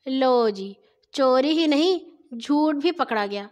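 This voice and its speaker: High-voiced woman telling children's stories. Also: lively intonation, a little mellow. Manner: deliberate, phrase-by-phrase delivery. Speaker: children's storyteller. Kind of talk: scripted narration for children.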